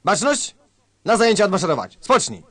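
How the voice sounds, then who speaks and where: Guy doing a bossy army drill officer in a comedy radio play, high voice, clipped orders, no room sound. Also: very animated, brisk, a bit bright, dry recording, exaggerated voice. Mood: commanding. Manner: barking, clipped commands. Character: brusque male military drill officer giving commands. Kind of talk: satirical radio-play acting.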